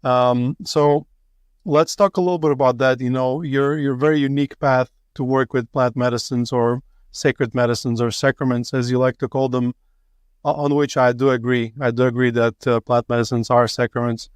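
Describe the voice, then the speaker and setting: Podcast host: male, Russian speaker, smooth clear tone, dry sound, reflective and halting delivery. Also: medium pitch, fairly even intonation, no echo. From Latvia. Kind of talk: conversational interview.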